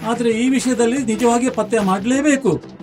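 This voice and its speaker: A woman voicing a concerned, earnest forest animal in an animated animal story. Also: on the high side, normal speed, natural voice. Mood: earnest, alarmed.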